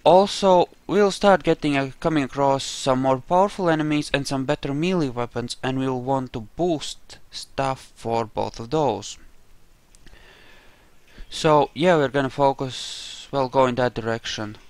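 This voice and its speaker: Guy doing a lets play, just chatting and thinking out loud, dry close mic. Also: mid-range voice, measured pace, no room sound. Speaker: gamer narrating a playthrough. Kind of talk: casual game commentary.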